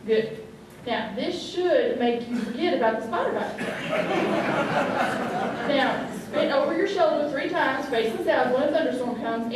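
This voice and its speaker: A woman playing a folksy, cheerfully brutal small-town home remedy lady in a comic radio monologue, chatty and instructive. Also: high voice. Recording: distant, very echoey, noisy stage recording.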